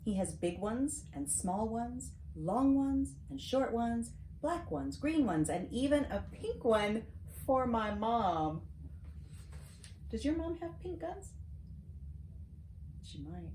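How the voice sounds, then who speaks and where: A middle-aged American woman reading a storybook aloud to a child, with casual asides and questions, in an expressive, teacherly manner. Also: on the high side, takes it slowly. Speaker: host reading to her granddaughter. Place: home library, seated at a desk. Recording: roomy echo, clear background noise.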